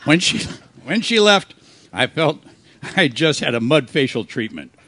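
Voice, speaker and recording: A man playing a world-weary, wisecracking private detective in a hard-boiled radio play, delivering his lines deadpan. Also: on the high side, unhurried, exaggerated voice. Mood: wry narrating. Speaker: amateur actor in a live radio play. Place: live performance on a cruise ship.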